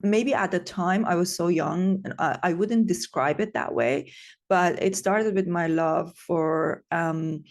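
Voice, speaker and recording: Gravelly-edged woman from Iran. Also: on the low side, a little slow. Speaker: guest, tech founder. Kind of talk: casual interview answers.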